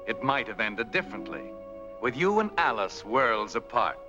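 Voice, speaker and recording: An actor playing an ominous, knowing narrator of fate, deliberate and insinuating in 1940s mystery film style. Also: male voice, mid-range voice, sing-song, natural voice. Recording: dull old film soundtrack under music.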